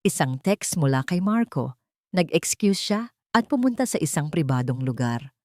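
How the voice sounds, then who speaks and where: A woman narrates a scripted drama in a slightly low voice. Her pitch moves widely from phrase to phrase, and the recording is close and dry. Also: big pitch swings, moderate pace, darkish tone, dry recording.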